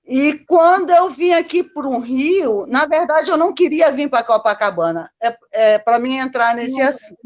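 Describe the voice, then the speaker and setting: A woman's voice: high-pitched, lively intonation, moderate pace, few pauses, highs cut off. A Brazilian woman chatting casually as a guest, telling personal stories and often checking for agreement. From born in Manaus, lived mostly in Minas Gerais, Brazil. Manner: chatty, constantly seeking agreement with tag questions. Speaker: guest, Copacabana resident.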